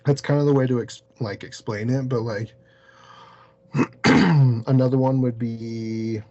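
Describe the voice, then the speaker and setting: A man telling a story casually as an interview guest, halting and peppering his sentences with "like". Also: medium pitch, fairly even intonation, warm tone, dull audio. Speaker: podcast guest, paranormal investigator.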